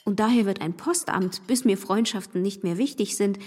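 Actress as husky, reserved young gentlewoman. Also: mid-range voice, normal speed, lightly acted. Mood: wistful, earnest. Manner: earnest, restrained, courteous. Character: reserved, polite young gentlewoman with quiet melancholy. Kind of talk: literary radio play acting. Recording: studio radio play with hall reverb.

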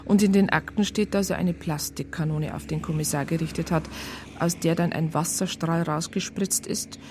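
A woman's voice: low voice, steady tone, moderate pace, noisy background, close to an everyday voice. A woman playing a police colleague who recounts a case in a matter-of-fact, colloquial way with a Bavarian accent. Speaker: narrator. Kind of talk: first-person conversational narration in radio crime drama.

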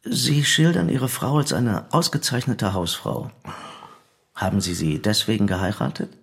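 An actor playing a formal, probing interrogator in a radio drama, questioning in a measured way with a gravelly, husky voice. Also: male voice, lowish voice, lively intonation, fairly bright tone, heavily acted. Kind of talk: German radio drama acting.

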